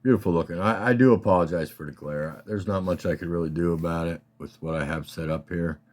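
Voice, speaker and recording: A man with a slightly scratchy voice, casually and haltingly talking through his collection as a hobbyist host. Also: low-pitched, darkish tone. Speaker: collector hosting a card showcase. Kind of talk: casual rambling show-and-tell talk.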